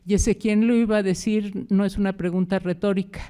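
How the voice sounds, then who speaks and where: A woman's voice: medium pitch, fairly even intonation, a little fast, warm tone, clean tone. A woman introducing a guest lecturer in an easy, conversational way with some hesitations. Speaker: moderator. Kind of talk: formal introduction of a speaker.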